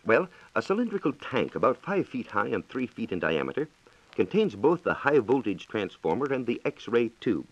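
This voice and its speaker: A man's voice: mid-range voice, very animated, normal speed, breathy edge. An American man reporting on science in a scripted, formal and precise 1940s radio style, on a dull, echoey old recording.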